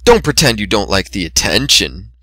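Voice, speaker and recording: A man's voice: on the low side, sing-song, normal speed, pushed and bright, no room sound, heavily acted. A man voicing a teasing, possessive female unicorn with a forward, insistent manner in an amateur dramatic reading. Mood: seductive.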